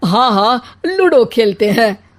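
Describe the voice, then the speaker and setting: A woman's voice: a little high, sing-song, takes it slowly. A woman voicing a grumbling, demanding older mother-in-law in a comic storybook tale, whining and exclaiming theatrically. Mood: eager, snappish. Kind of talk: acted comic Hindi storybook dialogue.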